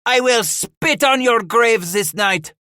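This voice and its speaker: A man voicing a shrill, defiant aging French matriarch in an audiobook, shrieking curses in broken English. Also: slow pace, sharp tone, clean tone, dry recording, clean studio sound, exaggerated voice. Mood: defiant fury.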